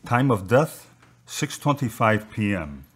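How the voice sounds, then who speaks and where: A man acting a sober, apologetic hospital doctor in a radio drama, with a husky voice. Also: lowish voice, big pitch swings, slow, fairly bright tone, full character voice.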